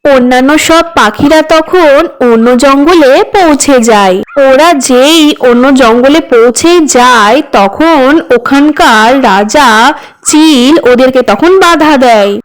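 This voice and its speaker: Clear, high-voiced woman storyteller. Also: measured pace, poor audio. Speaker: narrator. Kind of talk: scripted children's story narration.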